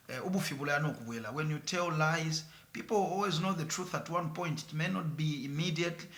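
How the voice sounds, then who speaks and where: A man's voice: a little high, pushed and bright, reverberant. A man with a Zambian accent, a radio presenter answering interview questions earnestly with some hesitation. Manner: earnest, mixes in local phrases, some hesitation.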